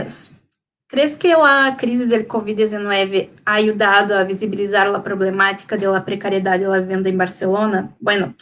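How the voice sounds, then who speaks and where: A woman's voice: mid-range voice, fairly bright tone, a little hiss or hum. A young woman with a Portuguese accent and Portuguese as her first language, asking interview questions hesitantly with many fillers.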